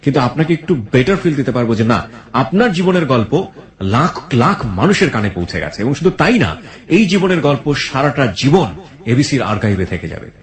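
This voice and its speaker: A man's voice: mid-range voice, very animated, fairly quick. A man with a Bangladeshi accent presenting a radio show to listeners, mixing English phrases into his talk. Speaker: radio host. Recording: echoey, reverberant voice.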